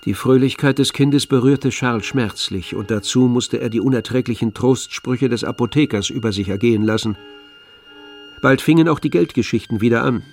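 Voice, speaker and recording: Male radio drama narrator, medium pitch, literary storytelling, light music bed. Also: moderate pace. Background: faint string music.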